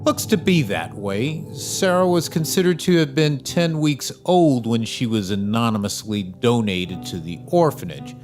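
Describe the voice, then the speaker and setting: Man as measured lawyer, lively pitch, businesslike, music behind. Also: lightly acted. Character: calm lawyer advising a couple.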